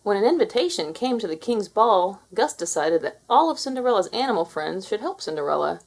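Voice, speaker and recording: A woman's voice: medium pitch, big pitch swings, fairly quick. A woman narrating a children's fairy tale as a scripted read-aloud.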